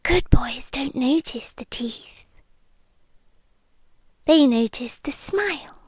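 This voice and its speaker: A British woman voicing a possessive vampire girlfriend in an ASMR roleplay, gentle and coaxing with quiet menace, often slipping into whispers close to the microphone. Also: high-pitched, expressive, slightly rough, dry recording, full character voice. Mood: soothing, controlling. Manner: soft, deliberate, lingering pauses, slipping into whispers. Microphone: close microphone, dry.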